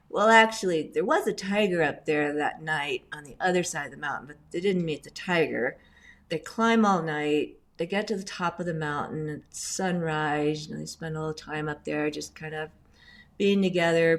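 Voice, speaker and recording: A middle-aged woman, raised in Indonesia, speaks in a low voice. She tells her stories in a chatty, animated way and fills them with asides as an interview guest. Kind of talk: casual storytelling interview.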